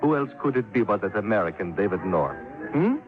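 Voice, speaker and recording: An actor playing a flamboyant, greedy, self-admiring count, theatrical and effusive, in old-time radio style. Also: male voice, lowish voice, sing-song, brisk. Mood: smug, breezy. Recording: muffled old radio recording, faint music.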